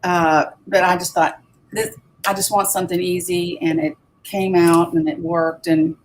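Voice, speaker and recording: Easygoing woman with a lowish voice. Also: level tone, unhurried, slight background noise, low-quality recording. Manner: easygoing, repeats words as she thinks. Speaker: reseller guest. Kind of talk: casual chat on a live video hangout. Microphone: video call.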